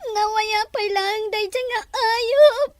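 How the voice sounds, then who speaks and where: Sobbing, pleading young Ilocano woman for radio melodrama, played by an actress, very high and slow. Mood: despairing. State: crying, sobbing. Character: tearful young woman pleading for belief after abuse.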